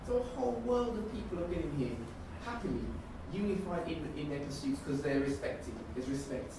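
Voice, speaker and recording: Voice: mid-range voice, lively intonation, a little fast. A community organiser speaking informally to a group, emphatic and repeating phrases for stress. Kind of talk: informal speech at a group discussion. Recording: echoey room, distant mic, noisy.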